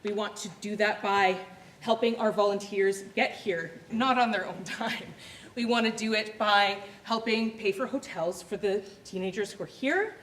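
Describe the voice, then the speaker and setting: A woman's voice: mid-range voice, normal speed, fairly bright tone. A woman making an earnest donation appeal on stage, with small laughs between phrases. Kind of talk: live stage speech. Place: large theatre hall. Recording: echoey theatre with faint noise.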